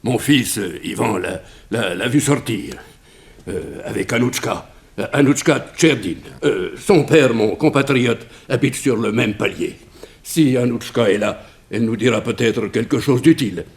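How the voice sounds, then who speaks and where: An anxious immigrant father in an old 1960s French radio play. His mid-pitched voice is gravelly and creaky, and he is strict yet fretful, stumbling hesitantly over his words. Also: lively intonation, unhurried.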